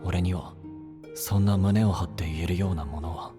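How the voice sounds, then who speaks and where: A man's voice: sits low, fairly even intonation, normal speed, natural voice. A man playing a brusque, self-doubting young runaway in a fantasy audio drama, blunt, casual and brooding. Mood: bitter, downcast. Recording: voice over background music.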